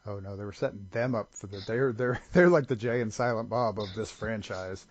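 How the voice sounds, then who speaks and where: A man's voice: fairly low pitch, fairly quick. A man co-hosting a podcast, casually trading dry, sarcastic remarks about a movie. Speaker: podcast co-host. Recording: muffled lo-fi audio with noise.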